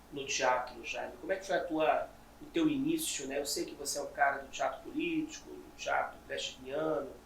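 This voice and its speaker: A man's voice: a little high, gravelly, a little hiss or hum. A man hosting an interview, asking long, admiring questions in a conversational tone. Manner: warm, admiring, asks long rambling questions. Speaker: interviewer, host. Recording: roomy echo, distant mic, faint ambience.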